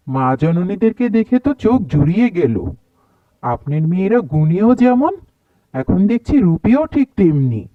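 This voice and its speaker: Mellow, flattering cartoon matchmaker. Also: male voice, fairly high pitch, no room sound, dull audio. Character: courteous, flattering male village matchmaker. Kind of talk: Bengali moral-story cartoon dub.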